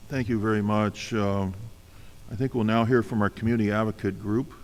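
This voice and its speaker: A man, a senator chairing a public hearing in a halting, informal way. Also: fairly low pitch, steady tone. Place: government hearing room.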